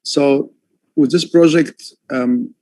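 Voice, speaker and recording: A man from Egypt, an artist, talking about his work on a livestream call, often pausing with fillers and restarting his sentences. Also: fairly high pitch, fairly even intonation, darkish tone, no echo, poor audio. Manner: hesitant, many fillers and restarts. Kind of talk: livestream talk. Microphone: headphones on a call.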